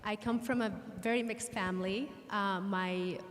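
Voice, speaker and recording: A woman from Syria giving an earnest personal talk to an audience, telling her story in a measured way. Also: medium pitch, steady tone, soft background music. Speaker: guest speaker. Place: synagogue hall. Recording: reverberant hall.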